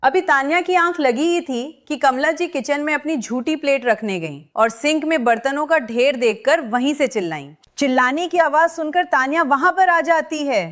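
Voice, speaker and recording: A woman tells a scripted family story with high, clear narration. Her delivery stays even and expressive throughout. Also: normal speed, few pauses.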